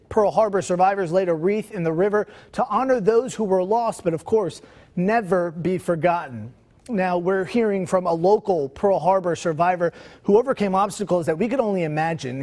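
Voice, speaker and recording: A man's voice: sits high, darkish tone. A young man reading scripted news narration as a television anchor. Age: young adult. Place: TV news studio.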